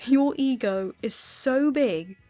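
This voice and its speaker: A woman's voice: sits high, slow, darkish tone, dry recording, pitched above the performer's own voice. A woman voicing a wry, self-conscious teenage schoolboy with a dry, understated manner in an audiobook. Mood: teasing. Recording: music playing underneath.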